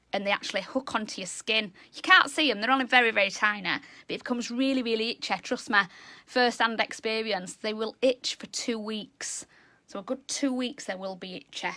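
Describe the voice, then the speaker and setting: A woman with a loud, high-pitched voice, chatting enthusiastically as a zoo educator teaching children. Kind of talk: live educational animal talk.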